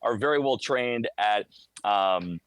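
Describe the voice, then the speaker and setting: A man's voice: lowish voice, slow pace, clean tone, no echo. A man talking casually as a hockey radio announcer, explaining things conversationally in a live game-day report. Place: high broadcast booth inside a hockey arena.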